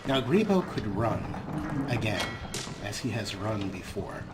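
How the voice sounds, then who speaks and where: A man hosting a let's play, narrating the game as a story in deliberate, broken phrases. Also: fairly low pitch, very animated. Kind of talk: in-character story narration of gameplay. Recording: loud game ambience noise.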